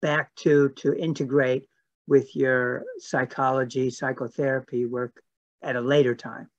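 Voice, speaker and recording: A man interviewer asks questions very slowly, piecing them together as he goes. His voice comes through a dull, lo-fi video call line. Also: medium pitch, flat delivery. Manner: slow, searching, unhurried questions. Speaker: host interviewer.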